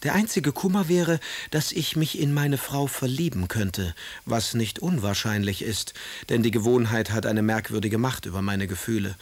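An actor playing a witty, melancholy young poet in a radio drama, reading letters and verse in an ironic, theatrical manner. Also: male voice, medium pitch, moderate pace, few pauses, dry recording, close to an everyday voice. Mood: ironic, arch.

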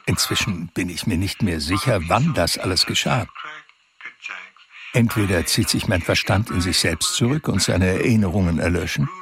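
An actor playing a blind, reflective younger brother who narrates his reclusive life in a German radio drama, measured and wry. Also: deep voice, lively intonation, crowd noise. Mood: melancholy.

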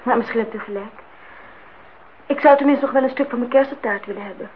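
A woman's voice: on the high side, fast talker, natural voice. An actress playing a guarded, stubborn young woman in a radio play, terse and reserved. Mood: guarded, subdued. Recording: muffled, noisy old radio-play recording.

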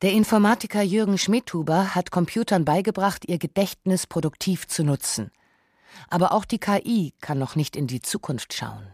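A man's voice: sits high, animated, normal speed, darkish tone, dry recording, studio quality. Wry radio narrator, a man. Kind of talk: scripted radio documentary narration.

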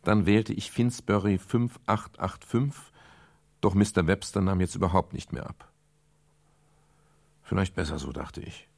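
A man's voice: lowish voice, vocal fry, a little mellow, husky, no echo. An actor playing a sardonic private detective who narrates his case in a dry, laconic, brusque way in a German radio crime drama.

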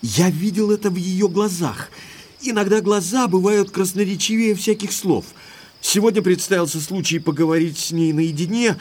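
An actor playing an indignant, lovestruck young English baronet in an audio drama, speaking emphatically like an exasperated gentleman. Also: high voice, moderate pace. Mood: earnest, lovestruck.

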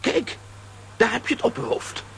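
A man playing a kindly, excitable elderly professor in a children's audio drama. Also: normal speed, slight background noise, lightly acted. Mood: anxious.

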